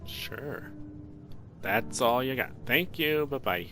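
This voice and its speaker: A man's voice: medium pitch, expressive, slow pace. A man hosting a let's play, giving casual commentary and muttering to himself as he plays. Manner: muttering to himself while playing. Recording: loud game music under voice.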